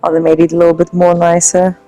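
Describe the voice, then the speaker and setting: A woman giving casual let's play commentary in a relaxed, musing way. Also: fairly low pitch, fast, faint music behind, low-quality recording. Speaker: game streamer.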